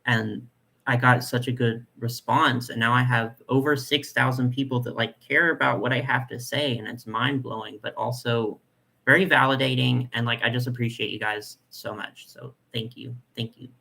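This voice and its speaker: A young man with a nasal voice hosting a casual live chat, reading comments and talking in a chatty, soft way with lots of fillers. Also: mid-range voice, fairly even intonation, normal speed, vocal fry, rough recording. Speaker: doll collector channel host.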